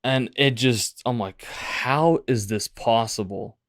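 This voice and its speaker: Young man, podcast host, casual, animated, smooth clear voice, dry sound. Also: mid-range voice, slow, no echo. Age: young adult. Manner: animated, casual, uses "like" often. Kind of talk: interview chat.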